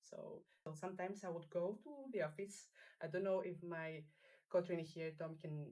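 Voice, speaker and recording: A woman whose first language is Greek, chatting at a roundtable with a slightly low voice. She talks in a halting way, with fillers and laughs between phrases. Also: fairly even intonation, mellow, low-quality recording. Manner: hesitant, halting, laughs often. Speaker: trainee. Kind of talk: casual roundtable chat.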